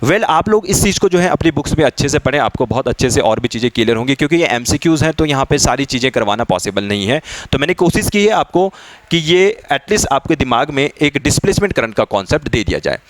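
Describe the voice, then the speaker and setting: A man's voice: medium pitch, animated, fast. A man teaching an exam-preparation lesson, explaining step by step with much repetition and English technical terms mixed in. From India. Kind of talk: explanatory lesson working through practice questions.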